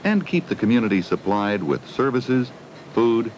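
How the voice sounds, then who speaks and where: A man's voice: mid-range voice, big pitch swings, normal speed, a little mellow, a little hiss or hum, low-quality recording. A man reading a scripted educational film narration for children, putting simple questions to them, on a 1980 film soundtrack.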